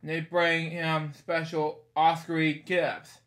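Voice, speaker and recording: A man's voice: fairly high pitch, monotone, slow pace, fairly bright tone. A man in his thirties reading a picture book aloud in a small bedroom, rambling with casual asides and stock phrases.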